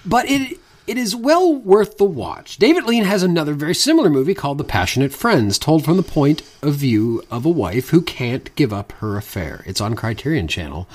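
A man's voice: fairly high pitch, big pitch swings, moderate pace, hardly any pauses. A man co-hosting a podcast in casual, joking banter, sardonic and profane, often laughing as he reads out listener comments.

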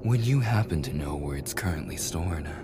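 A man playing a smug, menacing mafia prince in an immersive roleplay audio drama, possessive and coolly charming, with deliberate teasing threats and breathy murmurs. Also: a bass voice, animated, over loud music. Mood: probing. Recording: clearly noisy background ambience.

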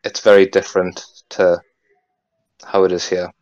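Deep-voiced Geordie man, chatty. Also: level tone, moderate pace, darkish tone, no room sound, highs cut off. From North East England. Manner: rambling, full of "like", swears freely. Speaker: guest. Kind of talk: casual podcast chat.

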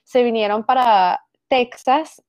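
A woman's voice: on the high side, takes it slowly, a little mellow, dry recording. A young woman with a Venezuelan accent, guesting in a casual interview over a laptop video call, telling her story with frequent laughs and some stumbles for words. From United States, raised in Venezuela. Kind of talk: casual interview chat, Spanglish.

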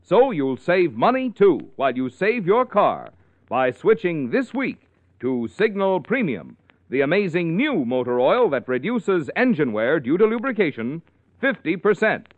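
A man's voice: a little high, sing-song, a little slow. A man reading an emphatic commercial as an announcer on vintage radio. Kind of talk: 1940s radio advertising read, emphatic slogans. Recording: echoey, tinny old radio recording.

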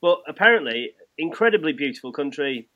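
A man's voice: a little high, big pitch swings, fairly quick, sharp tone, muffled recording. An English man hosting a casual sports interview in a matey, informal way. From England. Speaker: podcast host interviewing a guest.

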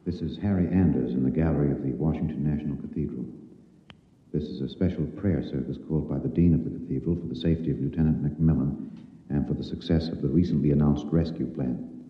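Very deep, echoing solemn radio reporter. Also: male voice. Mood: hushed, solemn. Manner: measured, solemn announcing. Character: solemn male radio news reporter at cathedral. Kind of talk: old-time radio drama, live commentary. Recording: thin phone-like band, long cavernous echo.

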